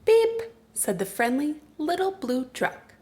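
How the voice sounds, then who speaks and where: A woman narrates a picture book for young children. Her voice sits very high. She reads slowly and expressively, keeping a deliberate rhythm. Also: lively intonation. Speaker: children's storytime reader.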